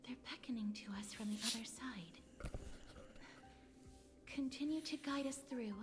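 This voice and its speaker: An actress playing a gentle, weary young priestess in a video game, solemn, with a hushed, breathy voice. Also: female voice, fairly high pitch, a little slow, very noisy, natural voice.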